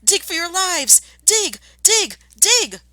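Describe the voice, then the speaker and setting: A woman voicing a father fox in a storybook, an urgent protective leader shouting to his family. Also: extremely high pitch, very animated, slow pace, no room sound, voice pushed up, full character voice. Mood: alarmed, urgent.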